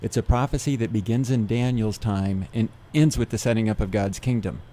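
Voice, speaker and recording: Mellow man explaining steadily. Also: on the low side, fairly even intonation, fairly quick, slight background noise. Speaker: seminar speaker. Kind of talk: radio interview guest explaining prophecy.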